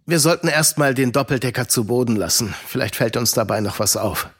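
A man's voice: medium pitch, a little fast, breathy edge. A man playing a guarded uncle who mentors children, in a children's audio drama. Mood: calm, considered.

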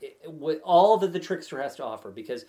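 A man chatting casually about film trailers in an animated, sardonic, freely swearing way. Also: a little high, a little fast.

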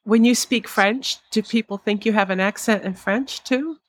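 A woman's voice: mid-range voice, moderate pace, dry recording. A woman hosting an interview, asking short probing questions. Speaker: podcast host.

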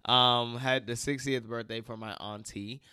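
A man co-hosting a casual talk show, with a hoarse throat and a halting delivery full of fillers. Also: medium pitch, steady tone, sharp tone, no room sound, clean studio sound. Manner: halting, many fillers and restarts. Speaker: podcast co-host. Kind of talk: casual chat.

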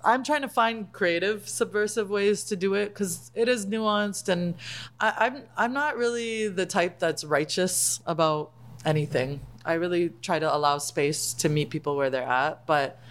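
Woman chef chatting on a podcast, palestinian, laughs a lot, gets animated telling stories. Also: on the low side, unhurried. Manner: animated, laughs often, acts out quoted lines. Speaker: guest, chef and cookbook author. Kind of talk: casual podcast interview.

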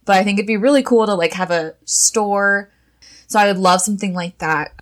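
A woman in her twenties in casual chat, animated, often trailing off and laughing. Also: mid-range voice, brisk.

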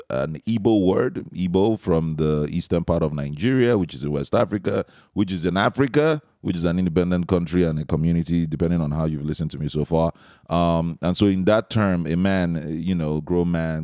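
A man's voice: rumbling low, warm tone, dry recording, clean studio sound. A man hosting a podcast, talking in a laid-back way and rambling through his questions in casual interview chat. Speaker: podcast host.

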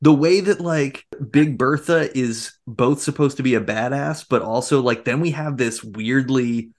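A man with a mid-pitched voice talks as a podcast co-host in a casual film discussion. He is animated and wry, slipping into mock quotes and sarcastic asides as he retells things. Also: measured pace.

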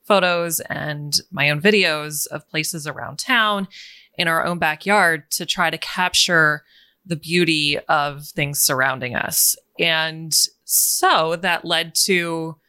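A woman with a calming voice, a business coach chatting as a guest in an interview and laughing between thoughts. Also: low voice, slow pace, clear, resonant tone, dry recording. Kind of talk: casual interview chat.

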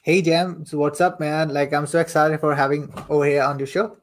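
A young man from Saudi Arabia and Pakistan speaks in a slightly high voice. He tells his own story like a chatty interview guest, informal and fond of repeating a phrase for emphasis. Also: little pitch movement, a little fast. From Saudi Arabia, later Pakistan. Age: young adult. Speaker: podcast guest, affiliate marketer and coach. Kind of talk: casual personal storytelling in an interview.

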